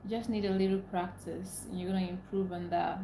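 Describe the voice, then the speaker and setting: A woman's voice: on the low side, level tone, a little fast, mellow, slight background noise. Chatty Nigerian woman livestreaming in an echoey room. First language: Igbo. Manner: chatty, repeats herself, laughs often. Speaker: livestream host. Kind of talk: casual livestream chat reading comments. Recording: echoey room, faint ambience.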